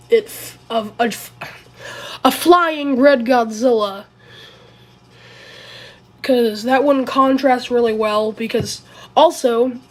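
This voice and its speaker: A woman who is sick and raspy, talking through a casual commentary video in a rambling, hesitant way and often correcting herself. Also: a little high. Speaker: video creator.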